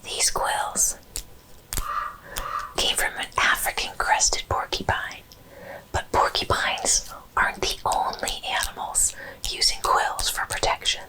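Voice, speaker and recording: A woman host narrating educational animal facts in an ASMR style, whispering slowly and deliberately. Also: low-quality recording. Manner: whispers slowly and deliberately through most of the talk.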